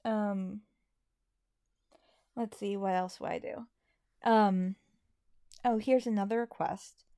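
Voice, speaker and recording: A woman's voice: medium pitch, steady tone, takes it slowly, mellow, clean tone. A woman co-hosting a casual livestream and reading viewer messages in a self-deprecating, hesitant way. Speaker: livestream co-host and hobby voice actor.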